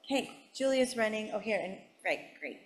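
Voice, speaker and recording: A woman moderating a Q&A session, brisk and upbeat as she calls on questions. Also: medium pitch, animated. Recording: crowded noisy room.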